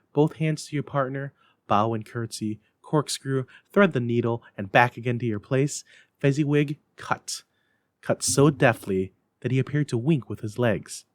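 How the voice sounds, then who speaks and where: A man narrates a classic story as a dramatic reader. His mid-pitched voice is expressive with measured phrasing, and the recording is dry and close. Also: dry recording.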